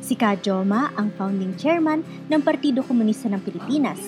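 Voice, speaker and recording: A woman's voice: fairly high pitch, animated, brisk, over loud music. A woman hosting a scripted radio show, speaking to listeners directly in an exhortative, conversational way. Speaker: radio program host.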